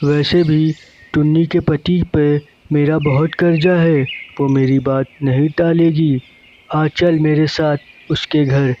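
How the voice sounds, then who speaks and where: A man's voice: fairly high pitch, flat delivery, slow pace, dark tone, lo-fi sound, slight background noise. Man acting a blustering, vengeful cartoon moneylender boss. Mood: scheming. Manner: blustering, threatening.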